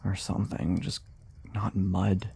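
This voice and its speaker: A man's voice: sits low, flat delivery, takes it slowly, creaky, darkish tone, slightly rough, full character voice. A man playing a weary, grumbling monster hunter in an immersive fantasy audio roleplay, guarded yet sociable, with a hesitant, wryly sarcastic, muttering delivery.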